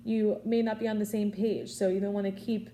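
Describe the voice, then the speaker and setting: Fast-talking young woman, mellow voice. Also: mid-range voice, little pitch movement, a little hiss or hum. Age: early twenties. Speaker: student public speaker. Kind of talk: informal prepared persuasive speech.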